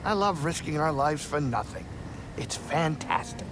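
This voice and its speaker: A man's voice: a little high, animated, unhurried, noisy background. A man playing a wisecracking, nervous alien pilot and gambler in a video game, talking in a sardonic, complaining way. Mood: sarcastic. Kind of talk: video game cutscene acting. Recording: loud music over the voice.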